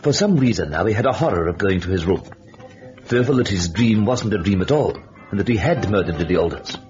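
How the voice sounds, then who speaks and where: Lower-pitched, theatrical male radio narrator. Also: expressive, brisk, darkish tone. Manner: theatrical, rhetorical. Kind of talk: suspenseful, dramatic radio story narration. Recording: dull old radio recording, music behind. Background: music.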